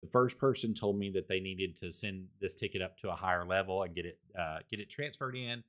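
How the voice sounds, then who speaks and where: A man with a fairly low, loud voice gives a casual microphone review on his home stream. He rambles, restarts and repeats words as he walks through his settings, speaking into a desktop condenser mic. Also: fairly quick.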